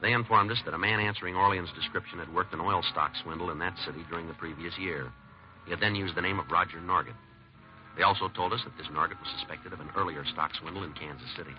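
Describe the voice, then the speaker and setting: A stoic police detective in an old radio crime drama. His voice is extremely low, with a quick, clipped, deadpan delivery. The vintage recording sounds dull. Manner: deadpan, clipped, matter-of-fact. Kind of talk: 1950s radio police drama, dialogue and narration.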